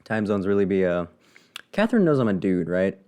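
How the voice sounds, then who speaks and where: Animated man streaming a game, flippant. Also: low voice, brisk, clear, resonant tone. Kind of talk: casual live commentary over gameplay. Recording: game music behind the voice.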